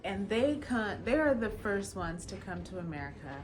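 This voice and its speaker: Expressive woman telling her own story. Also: medium pitch, normal speed, slight background noise. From Los Angeles, California. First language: Jamaican Patois. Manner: expressive, casual, acts out quoted dialogue. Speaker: attorney. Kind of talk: personal storytelling.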